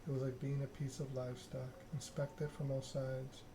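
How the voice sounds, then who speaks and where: A man streaming a text game, reading narration aloud in a soft-spoken ASMR style with casual chat. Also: medium pitch, level tone, moderate pace. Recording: clear background noise.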